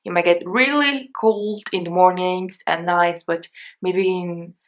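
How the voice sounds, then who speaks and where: A woman's voice: fairly low pitch, steady tone, unhurried. A young woman from Mexico, a Spanish native speaker with a Mexican accent, chatting casually through a shopping haul, rambling and hesitant, with many fillers and restarts. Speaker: beauty vlogger.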